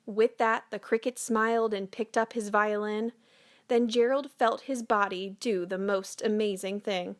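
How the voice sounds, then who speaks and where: A woman storyteller narrating a children's picture book in a measured, expressive read-aloud manner. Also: mid-range voice.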